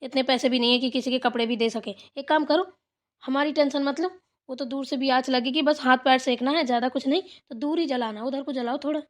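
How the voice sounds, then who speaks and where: Woman voicing a high-voiced, bossy mother-in-law. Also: steady tone, brisk, lightly acted. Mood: chatty, content. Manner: homely, rambling, instructing. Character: bossy, chatty older village mother-in-law. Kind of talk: amateur Hindi family cartoon dialogue.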